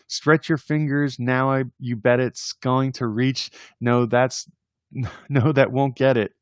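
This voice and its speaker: A man hosting a casual read-aloud of children's poems, playful and expressive in verse, with informal chatty asides. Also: medium pitch, slow pace, clean tone, no room sound, clean studio sound. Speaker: host reading poems aloud on a livestream.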